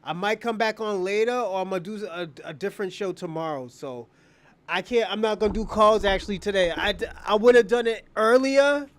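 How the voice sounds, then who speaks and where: Male livestream host, high-pitched, dry sound, opinionated, rambling, casual delivery. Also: fairly quick, no room sound. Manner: opinionated, rambling, repeats phrases. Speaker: live stream show host. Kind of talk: casual off-the-cuff livestream talk.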